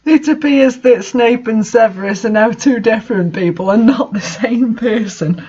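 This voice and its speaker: A man's voice: high-pitched, moderate pace, a little mellow, husky, muffled recording. A man reading a story aloud in an amused, comedic way and adding his own commentary. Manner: amused, breaking into laughter while reading. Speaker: reader hosting a bad fanfiction reading series.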